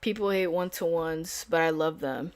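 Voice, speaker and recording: Young woman, lowish voice, laid-back streamer talk, dry close sound. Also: moderate pace, no room sound. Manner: casual, filler-heavy, slangy. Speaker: day trader streaming live. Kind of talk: casual live-stream chat.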